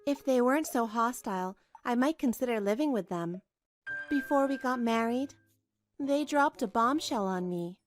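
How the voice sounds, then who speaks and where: A high-pitched, earnest young wife voiced by a woman narrates a manga drama. She sounds put-upon and anxious, and music plays behind her. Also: lively intonation, normal speed, natural voice. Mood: weary, aggrieved. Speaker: narrator and lead of a manga dub. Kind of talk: dramatised first-person story narration.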